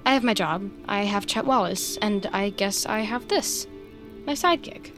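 A woman's voice: medium pitch, a little slow, music in the background, natural voice. A woman playing an awkward, sardonic, self-deprecating human sidekick in an audio drama, rambling and easily flustered. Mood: self-deprecating, wry. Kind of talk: naturalistic audio drama acting.

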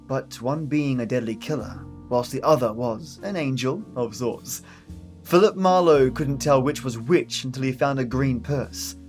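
A man's voice: medium pitch, darkish tone. Male podcast host over music. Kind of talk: scripted introduction.

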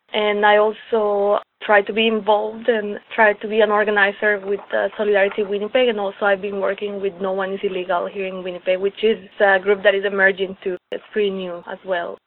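A woman in her twenties from El Salvador, an activist telling her story slowly and personally in an interview over a call. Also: medium pitch, little pitch movement. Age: 20s. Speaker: guest, activist organizer. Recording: tinny phone line.

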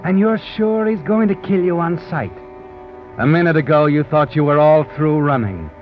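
An actor playing a needling, sardonic crime-tale narrator in dramatic 1940s radio suspense style. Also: male voice, fairly high pitch, normal speed, close to an everyday voice. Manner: dramatic second-person taunting. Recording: muffled old radio recording over music.

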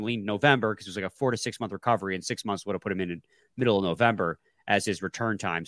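A man's voice: medium pitch, a bit bright, no echo, studio quality. A man with a stuffy, congested voice, hosting a casual sports podcast with laid-back, joking banter. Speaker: podcast co-host.

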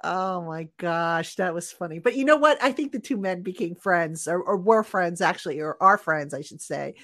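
A woman's voice: fairly low pitch, moderate pace, a bit bright. A middle-aged woman with a New Jersey accent, hosting a casual sports podcast and telling stories with frequent restarts. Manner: chatty storyteller, frequent restarts. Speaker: podcast host.

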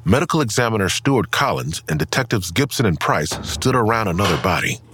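A man's voice: fairly low pitch, expressive, brisk, noisy background. A man reading scripted dramatic intro narration as the announcer of an audio drama. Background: music.

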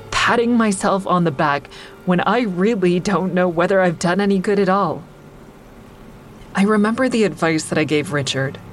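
A woman's voice: lowish voice, slight background noise. An actress playing a guilt-ridden, self-critical crisis counselor who tells her story in confessional first-person audio drama narration. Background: prominent music.

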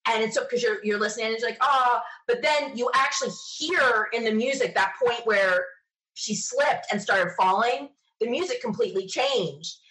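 A woman chatting casually as an interview guest, retelling things in an enthusiastic, animated manner. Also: on the high side, normal speed, rough recording. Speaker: podcast interview guest, sci-fi podcaster. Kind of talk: casual conversational storytelling.

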